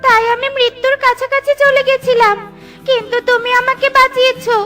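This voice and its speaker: A magical talking tree in a children's cartoon, kind and grateful. The voice is piercingly high and bright, speaking with gentle reassurance over music. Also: female voice, flat delivery, a little fast. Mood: grateful, kindly. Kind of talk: children's cartoon story acting.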